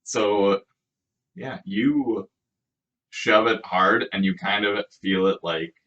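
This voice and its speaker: A game master narrating a tabletop role-playing game in a halting, conversational way. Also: low-pitched, fairly bright tone, dull audio. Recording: distant microphone.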